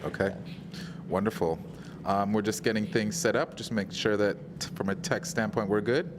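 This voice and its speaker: Man, event host, low-pitched, chatty, encouraging, conference stage, noisy live audio. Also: fairly even intonation. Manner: encouraging, chatty, fills pauses while tech is set up. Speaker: host of hackathon award ceremony.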